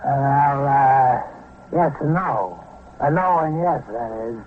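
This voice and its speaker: An actor playing a befuddled drunk witness in an old radio drama, halting, repetitive and fussy. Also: male voice, mid-range voice, animated, narrow, tinny audio. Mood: befuddled, rambling. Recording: thin, band-limited, noisy old radio recording.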